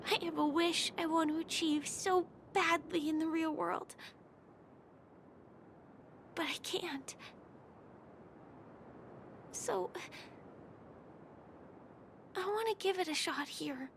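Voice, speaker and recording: A woman voicing a gentle, wistful young game heroine with soft, earnest delivery. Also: extremely high pitch, takes it slowly, long pauses, a little hiss or hum, full character voice. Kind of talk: video game cutscene voice acting.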